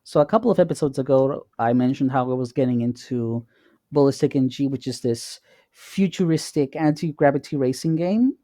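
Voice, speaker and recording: Mellow man chatting casually, dry close sound. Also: mid-range voice, no echo. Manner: halting, repeating words, informal. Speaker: podcast co-host. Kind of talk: casual chat.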